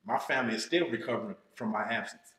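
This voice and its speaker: Guy in his 30s telling his story slow and thoughtful, echoey room, keeps saying you know what im saying. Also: fairly low pitch, fairly bright tone. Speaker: guest. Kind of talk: casual storytelling interview. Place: podcast studio room. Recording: roomy studio echo.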